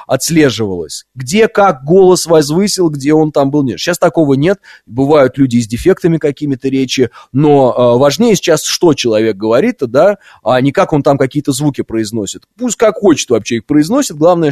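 Higher-voiced Russian man hosting talk radio. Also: darkish tone, no room sound, clean studio sound. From Orenburg region, Russia. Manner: conversational, rhetorical questions, repeats points. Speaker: radio talk show host. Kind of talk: live opinionated radio monologue. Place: radio studio. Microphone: close studio microphone.

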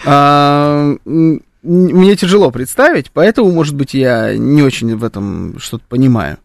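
A man's voice: on the high side, expressive, normal speed, gravelly, no echo. A man co-hosting a live radio talk show, speaking hesitantly and wryly, with many fillers and restarts.

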